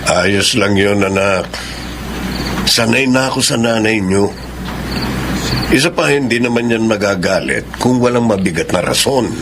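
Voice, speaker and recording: A man's voice: on the low side, measured pace, pauses often. A man playing a long-suffering middle-aged working father in a Filipino radio drama. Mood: resigned. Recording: noisy radio drama with background ambience.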